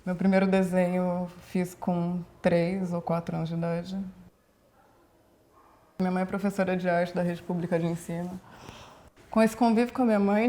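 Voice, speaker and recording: Woman in her twenties, from Brazil, flat even delivery, measured and introspective. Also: lowish voice. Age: 20s. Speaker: performance artist. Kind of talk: reflective documentary interview.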